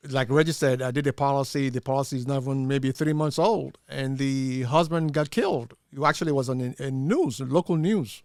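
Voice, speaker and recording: A man working as an insurance agent, giving casual, somewhat halting and agreeable answers as an interview guest. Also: mid-range voice, dry recording, clean studio sound. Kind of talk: casual conversational anecdote.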